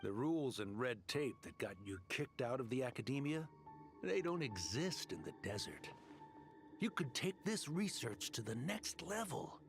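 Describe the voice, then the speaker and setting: A slick, ruthless desert mercenary sells his schemes with swinging, lively intonation. He is cocky, slangy and money-hungry, a video game villain talking over music. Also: medium pitch, normal speed. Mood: slick, persuasive. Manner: persuasive, cocky, casual slangy drawl of a salesman. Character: smooth-talking, ruthless desert mercenary arms dealer, greedy man.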